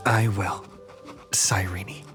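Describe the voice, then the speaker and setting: An actor playing an earnest, quietly awed young male hero in a video game, hushed and sincere. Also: low-pitched, fairly even intonation, very slow. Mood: solemn, sincere. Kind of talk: scripted video game dialogue. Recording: music under the voice.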